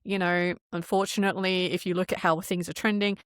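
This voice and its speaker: A woman's voice: lowish voice, flat delivery, fast talker, clean tone, dry recording, clean studio sound. A woman speaking as an interview guest, casual and opinionated, with an emphatic, informal manner and rhetorical questions. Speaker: podcast guest, audio drama creator.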